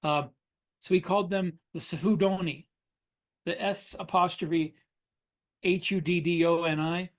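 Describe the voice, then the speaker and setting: A man's voice: sits high, fairly even intonation, a little slow, long pauses. A middle-aged man co-hosting a podcast as an author, talking casually in an anecdotal, explanatory way. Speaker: podcast co-host and science fiction author. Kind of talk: casual conversational discussion.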